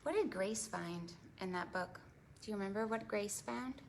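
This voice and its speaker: A woman hosting a preschool storytime, reading aloud in an animated, sing-song way with dramatic emphasis and questions for the children. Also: medium pitch, normal speed, creaky, a little hiss or hum. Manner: prompts listeners with questions. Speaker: children's librarian and storytime host.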